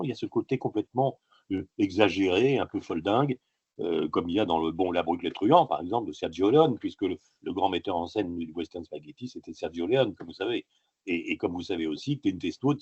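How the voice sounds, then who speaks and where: Male author guest, husky and low, rambling anecdotes full of hesitations, dry lo-fi sound. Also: darkish tone, no echo. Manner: halting, digressive storytelling full of filler sounds. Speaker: author being interviewed. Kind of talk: casual interview talk.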